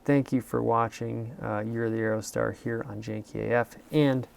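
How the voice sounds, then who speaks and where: A man's voice: medium pitch, level tone, normal speed, vocal fry, a little hiss or hum. A man hosting a casual car review show, rambling and self-deprecating with lots of filler words.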